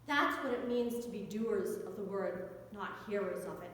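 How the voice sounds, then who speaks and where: An American woman priest giving a sermon in a conversational way, with rhetorical questions and emphatic pauses. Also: mid-range voice, slight background noise. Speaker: Episcopal priest preaching. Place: church interior. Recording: very echoey church with long reverb.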